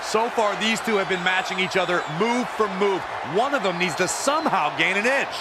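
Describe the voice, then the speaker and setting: A man's voice: high voice, expressive, fairly quick. A man playing a chatty wrestling analyst, adding color commentary to the match. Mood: brisk, chatty. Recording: loud crowd and arena noise.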